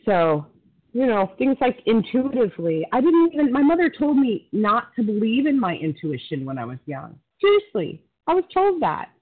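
A woman's voice: a little high, very animated, normal speed. A woman from Florida, sharing at a phone support meeting in a heartfelt, emphatic way. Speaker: meeting participant. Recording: phone line.

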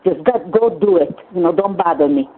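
A woman with a slightly low voice speaks earnestly over a tinny phone line. She is a native Hungarian speaker sharing at a recovery meeting. She halts and restarts often as she searches for words. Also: lively intonation, husky. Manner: earnest, halting, with many restarts.

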